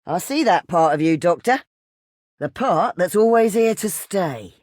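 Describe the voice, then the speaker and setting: An actor voicing a calm, weathered mentor in a scripted video game line. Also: medium pitch, measured pace, dry recording, studio quality. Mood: solemn, fond.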